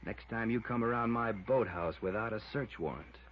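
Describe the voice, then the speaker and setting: An actor playing a defensive, short-tempered boathouse owner under suspicion in a 1950s radio drama, on an old radio recording. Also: male voice, on the low side, normal speed, lightly acted. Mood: defiant. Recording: dull, noisy vintage radio recording.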